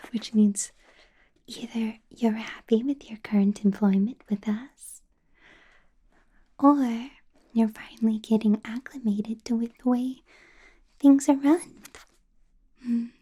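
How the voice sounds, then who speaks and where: A woman voicing a possessive ancient female vampire boss in a whispered ASMR roleplay, soft and doting with a quiet menace, close to the microphone. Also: on the high side, husky, full character voice.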